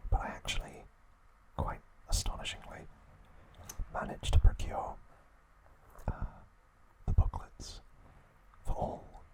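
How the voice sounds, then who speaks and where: A man whispers throughout in an ASMR style, with no full voice behind the words. He takes his time, moving very slowly through short phrases and leaving soft pauses between them, as a host sharing trivia. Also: poor audio.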